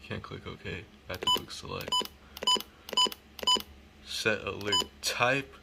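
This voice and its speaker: Low-voiced man, casual unboxing vlog. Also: slow pace, vocal fry, heavy background noise. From United States. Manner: informal, occasionally swearing. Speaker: hobbyist video host. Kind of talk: casual unboxing and setup demo.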